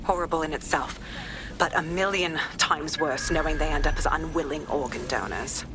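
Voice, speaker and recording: An actress playing a hardened, bitter British police detective in a video game, terse and determined. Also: female voice, deep voice, moderate pace, over music, lightly acted. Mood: grim disgust.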